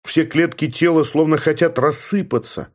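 A man giving a steady, measured first-person narration from a novel, in the manner of an audiobook reader. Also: fairly high pitch.

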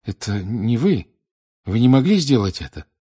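A man plays a frightened teenage wizard boy in an audiobook. The voice is pushed high and loud above the reader's own, urgent and halting, with agitated exclamations. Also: lively intonation, husky. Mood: disbelieving. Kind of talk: audiobook character acting.

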